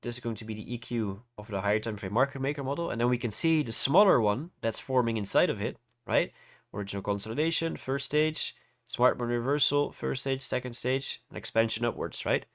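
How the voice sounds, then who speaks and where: A man's voice: mid-range voice, brisk, fairly bright tone. A man hosting a casual live tutorial, congested from hay fever, explaining informally and often checking that listeners follow. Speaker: trading livestream host and teacher. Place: voice-over on an online livestream.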